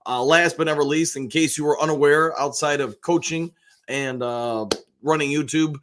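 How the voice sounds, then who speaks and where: An American man with a slightly hoarse voice, chatting in a rambling, conversational way as he hosts a casual live sports fan show. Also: a little high, steady tone, moderate pace, background noise. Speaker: sports show host, basketball coach and realtor.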